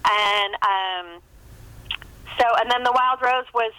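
A woman's voice: on the high side, slow, bright, forceful tone, smooth. A middle-aged American woman chatting casually and enthusiastically as a novelist in an interview, in a rambling way over a phone line.

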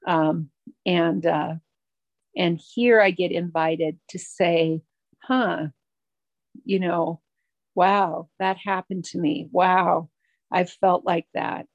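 A middle-aged woman sharing her recovery story at a twelve-step meeting, halting and reflective, with many pauses and restarts. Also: lowish voice, fairly even intonation, no echo, dull audio. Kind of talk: personal recovery share.

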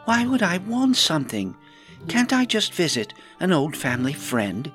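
A man's voice: a little high, big pitch swings, moderate pace, a bit bright, faint music behind, pitched above the performer's own voice. A man voicing a spirited female hobbit adventurer with a breezy, coaxing manner in a storybook reading. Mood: sheepish, coaxing.

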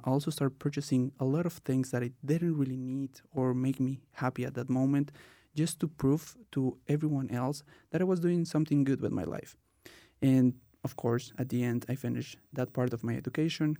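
A man's voice: mid-range voice, warm tone, no echo, studio quality. A man in his twenties from Colombia, hosting a student podcast and telling his own story with many "uh" and "um" fillers. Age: 20s. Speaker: podcast host. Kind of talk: casual podcast talk.

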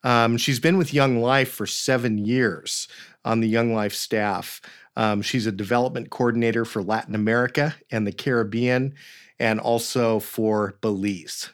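A man's voice: medium pitch, fairly even intonation, measured pace. Upbeat man hosting a podcast. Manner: upbeat, enthusiastic, with fillers. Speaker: podcast host. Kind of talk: conversational interview. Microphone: video call.

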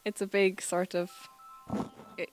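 A woman's voice: mid-range voice, flat delivery, measured pace, faint music behind, poor audio. A young Irish woman in her twenties, a student teacher, chatting easily with fillers as a guest on a live radio interview. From Ireland. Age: 20s. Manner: chatty and easygoing, many fillers. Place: school, live radio broadcast.

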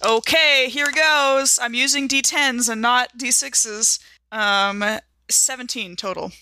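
A woman's voice: fairly high pitch, fairly even intonation, takes it slowly, sharp tone, slight background noise. A woman playing a tabletop game, playful and laughing. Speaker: player. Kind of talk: casual play at a tabletop game.

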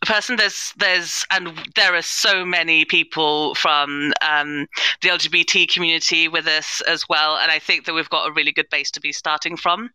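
A woman's voice: sits low, fairly even intonation, normal speed, pushed and bright, smooth, dry recording, poor audio. A woman speaking earnestly and thoughtfully as a panelist in a live discussion, often qualifying what she says. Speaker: panelist, head of inclusion at an audio drama company.

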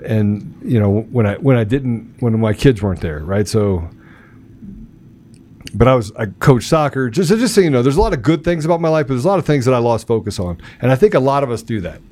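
A middle-aged man telling personal stories in a casual, colloquial talk-show chat, animated in his delivery. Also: medium pitch, a little fast. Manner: animated, colloquial storytelling with sound effects.

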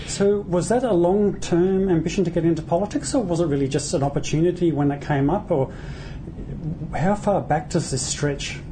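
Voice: mid-range voice, moderate pace, background noise. An interviewer asking probing questions in a conversational manner.

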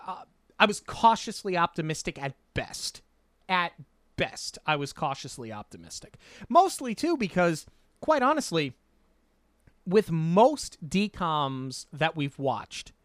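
Male podcast co-host, slightly high, opinionated and rambling, dry booth sound. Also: fairly bright tone, no room sound. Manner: rambling, self-correcting, opinionated. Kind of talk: casual movie review chat.

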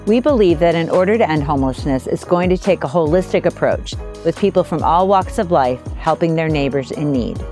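Low-voiced woman podcast co-host. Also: lively intonation, dark tone, soft background music. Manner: measured, reading a prepared script. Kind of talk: scripted intro, then interviewing.

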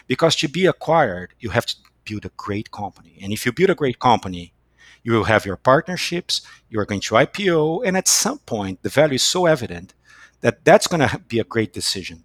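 A man with a Brazilian accent, a company chief executive answering as a podcast guest in a halting, emphatic, repeating way. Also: medium pitch, fairly bright tone, no echo. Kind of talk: unscripted podcast interview.

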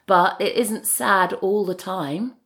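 A woman, a playwright guest, chatting casually in a thoughtful, halting, playful way. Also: medium pitch, fairly bright tone. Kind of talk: casual chat.

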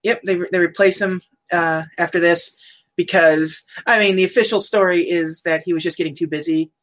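A woman co-hosting a casual chat about old cartoons, chatty and quick to laugh, looking things up as she talks. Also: fairly low pitch, fairly even intonation. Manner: chatty, laughs often, looks things up aloud. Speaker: podcast co-host.

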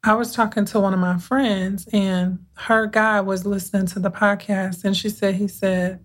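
A woman's voice: medium pitch, level tone. Soothing-voiced woman podcast host. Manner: unhurried, conversational, with thoughtful pauses and restarts. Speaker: podcast host and mindset coach. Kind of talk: casual reflective podcast monologue.

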